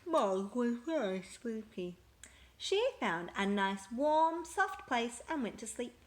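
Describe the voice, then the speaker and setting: Lady reading a picture book out loud, kinda high voice, really expressive and lively. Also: slow. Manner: expressive, emphatic storytelling. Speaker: storyteller. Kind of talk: read-aloud children's picture book narration.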